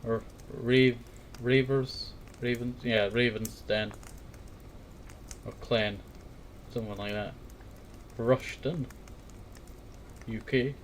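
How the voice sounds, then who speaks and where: A man with a mid-pitched voice rambles in a casual, homemade talk. He circles back over words and phrases and now and then reads aloud. There is clear noise in the recording. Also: fairly even intonation, slow, pauses often. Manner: meandering, repeats words and verbal tag phrases. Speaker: host of a fireside talk series. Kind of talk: rambling casual chat, some reading aloud.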